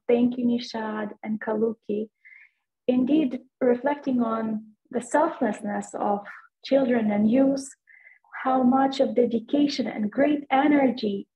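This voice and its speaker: A woman in her thirties moderating a panel on a video call, giving formal, appreciative remarks. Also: a little high. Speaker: moderator giving closing reflections. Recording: thin phone-like video-call audio.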